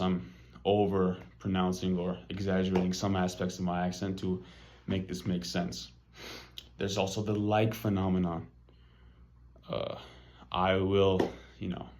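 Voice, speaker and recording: Casting: young LA man, deep voice, unhurried, laid-back slangy delivery for a class talk. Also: flat delivery, darkish tone, slight background noise. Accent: Los Angeles. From Los Angeles. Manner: casual, slangy, with many fillers. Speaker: student presenter. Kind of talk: class presentation.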